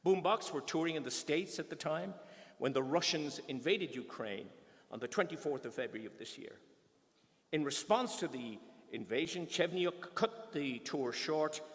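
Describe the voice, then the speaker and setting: Older male presenter, slightly high voice, long hall reverb. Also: animated. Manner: measured, explanatory. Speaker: concert presenter. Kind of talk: stage announcing. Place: large concert hall on stage. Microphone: lectern microphone.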